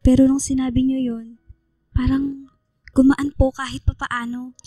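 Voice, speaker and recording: Radio drama part, polite, timid girl student, soft mellow high voice, music underneath. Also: little pitch movement, a little slow, no room sound. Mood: grateful, earnest. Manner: soft-spoken, hesitant, deferential. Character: Lia, shy, polite, grateful young female student.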